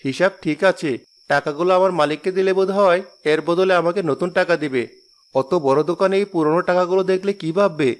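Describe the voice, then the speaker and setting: A man voicing a poor, kind-hearted father who works as a cart pusher in a cartoon, talking in a humble, soft-spoken way. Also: high-pitched, fast, lightly acted.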